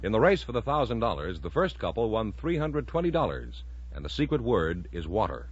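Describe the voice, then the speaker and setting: A man's voice: fairly low pitch, big pitch swings, a little fast, breathy edge. A man announcing a 1940s radio quiz show in a scripted presenter's style. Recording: muffled, faintly noisy vintage radio recording.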